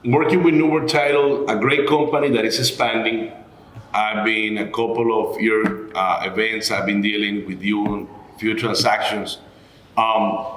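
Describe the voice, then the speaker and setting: A man hosting a conversational interview, phrasing things in a halting, deliberate way. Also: lowish voice, fairly bright tone, slight background noise. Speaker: show host. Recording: echoey room.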